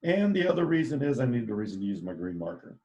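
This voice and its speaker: A man's voice: mid-range voice, very animated, quick pace, dark tone, muffled recording. A man teaching a live online drawing lesson, giving playful step-by-step instructions in an upbeat way. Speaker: art teacher.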